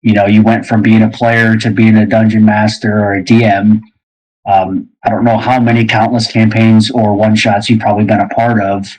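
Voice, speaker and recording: A man's voice: lowish voice, flat delivery, moderate pace, a little mellow, poor audio. A man hosting a casual interview and putting questions to a guest. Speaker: host, interviewer.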